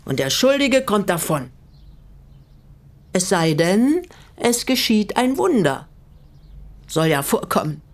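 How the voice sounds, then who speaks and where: Composed, probing older woman, medium pitch. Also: big pitch swings, exaggerated voice. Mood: knowing, calm. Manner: measured, probing, pointed. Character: shrewd, composed elderly female hostess quietly seeking justice. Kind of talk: radio play acting.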